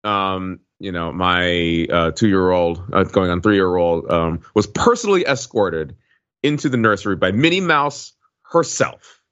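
A man chatting casually as a podcast co-host, halting and hedging, often wandering into asides. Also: low voice, animated, fairly bright tone, clean tone. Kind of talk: casual chat. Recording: slightly dull, lo-fi audio.

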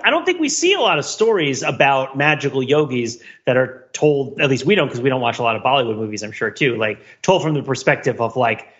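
A man's voice: medium pitch, fairly bright tone, lo-fi sound. A man co-hosting a podcast, riffing in rambling, comedic asides about film in a casual chat. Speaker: podcast co-host.

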